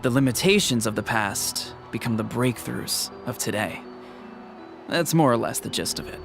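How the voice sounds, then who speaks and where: A wise older chief and mentor in a video game. His medium-pitched voice is measured and formal, with a kindly, reassuring manner. Music runs clearly behind him. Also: animated. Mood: solemn.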